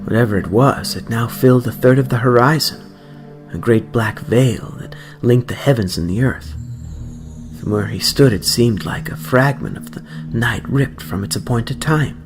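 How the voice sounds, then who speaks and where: A man's voice: mid-range voice, moderate pace. A man narrating an audiobook story in a dramatic, scripted reading style. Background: background music.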